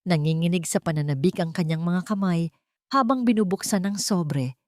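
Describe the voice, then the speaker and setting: A Filipino woman narrates a romantic drama in a slightly low voice. The sound is dry and close, with very little room in it. Her delivery is that of a scripted story told for listeners. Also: moderate pace, dry recording.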